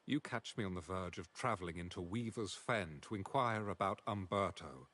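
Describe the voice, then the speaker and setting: A man's voice: deep voice, normal speed, a bit bright. A man voicing a formal, courteous male ally in a fantasy game, speaking in old-fashioned phrasing. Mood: earnest. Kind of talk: game character dialogue.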